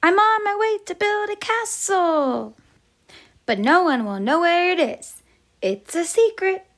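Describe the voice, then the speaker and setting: A woman voicing a bossy, boastful little girl in a storybook, with a loud, taunting sing-song manner. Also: extremely high pitch, sing-song, unhurried, pitched above the performer's own voice, full character voice. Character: bossy, boastful little sister playing a princess.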